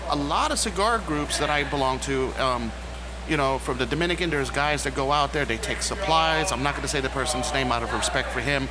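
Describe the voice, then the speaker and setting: A man talking as a guest on a podcast in a cigar lounge, joking and rallying listeners in an animated way. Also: mid-range voice, fairly quick, crowd noise. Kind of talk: casual roundtable chat. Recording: noisy with passing traffic.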